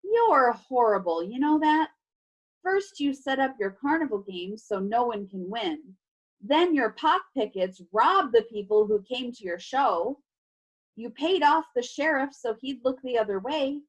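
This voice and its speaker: Woman playing a defiant boy hero, high-pitched. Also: animated, unhurried, poor audio. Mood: indignant. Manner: accusing, defiant. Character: defiant, indignant boy hero cornered by villains.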